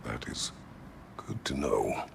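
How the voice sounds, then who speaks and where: A gravelly, extremely deep stoic warrior. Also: male voice, sing-song, takes it slowly, in a whisper, full character voice. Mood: grave, brooding. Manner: terse, clipped, stern. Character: grave, stoic, battle-worn warrior, gruff and terse. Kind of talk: video game cutscene acting.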